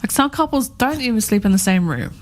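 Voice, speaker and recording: A woman's voice: fairly high pitch, animated, brisk. A woman in her thirties co-hosting a radio chat show, talking in a rambling, heartfelt way and repeating herself often. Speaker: radio co-host.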